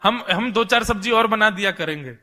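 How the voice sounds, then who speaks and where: A man's voice: sits high, normal speed, pushed and bright. A man voicing a small orphan boy, a god in disguise, earnest and self-assured, listing things repetitively in a devotional tale. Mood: confident, eager. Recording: long hall reverb.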